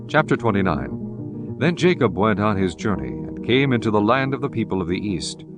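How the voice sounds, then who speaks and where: A man narrating scripture in a measured, reverent manner. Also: lowish voice, big pitch swings. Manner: measured, reverent, archaic diction. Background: guitar music.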